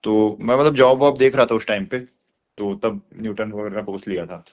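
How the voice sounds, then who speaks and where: A man's voice: fairly low pitch, fairly even intonation, a little fast. A young man explaining things colloquially in a casual phone conversation, with many filler words. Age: young adult. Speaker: course student being interviewed. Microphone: phone call. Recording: dull lo-fi phone call recording.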